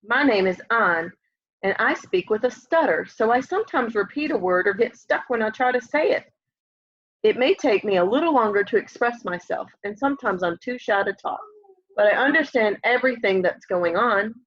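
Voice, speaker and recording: A young woman reading a children's picture book aloud in an expressive way, often putting questions to young listeners. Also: a little high, normal speed. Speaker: storybook reader. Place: room at home, indoors. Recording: dull, lo-fi home webcam audio.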